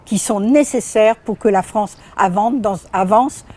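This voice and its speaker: A woman's voice: mid-range voice, a little slow, a little mellow. A woman, a local deputy mayor, giving an assertive, direct campaign testimonial.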